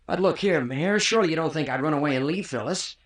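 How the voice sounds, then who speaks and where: A man's voice: on the high side, fast talker, natural voice. An actor playing an earnest, protective young American man with an insistent manner, in 1940s radio drama style. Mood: protesting. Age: young adult. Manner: urgent, insistent.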